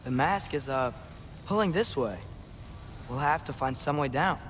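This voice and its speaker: A man's voice: fairly high pitch, expressive, a little slow, background noise. A young actor playing an earnest, plucky, slightly awkward teenage boy in a video game. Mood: tentative. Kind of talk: video game cinematic acting.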